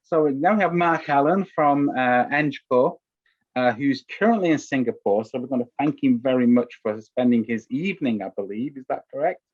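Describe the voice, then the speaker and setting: A man's voice: medium pitch, moderate pace. A man chairing an online workshop, polite and hesitant, often restarting his phrases. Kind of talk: moderating an online Q&A. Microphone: video call. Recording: dull lo-fi video call.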